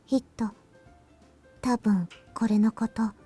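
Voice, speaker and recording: Soft, mellow schoolgirl. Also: on the high side, fairly even intonation, moderate pace, husky. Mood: quiet, matter-of-fact. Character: quiet, unguarded teenage schoolgirl at her laptop. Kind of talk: Japanese visual novel voice acting. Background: faint game music.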